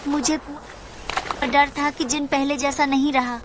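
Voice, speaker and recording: An actress voicing a cheerful, hopeful young woman fighter in a dubbed video game cutscene, speaking softly and earnestly. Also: sits high, little pitch movement, unhurried, background noise. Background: game music.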